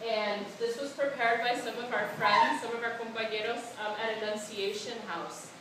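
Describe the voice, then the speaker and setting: A woman presenting a conference workshop, speaking warmly with lots of "um" fillers and repeated phrases. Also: a little high, fairly even intonation, moderate pace. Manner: warm, filler-heavy, repetitive phrasing. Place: conference room. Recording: echoey room, distant, noisy.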